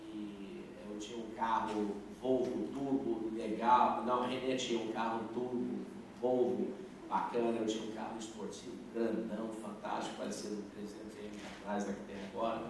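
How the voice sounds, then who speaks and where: A middle-aged male pastor answering questions at a youth service, earnest and halting, with many fillers and restarts. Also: fairly low pitch, flat delivery. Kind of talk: live Q&A at a youth church service. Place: large church hall. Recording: echoey hall, distant mic, faint noise.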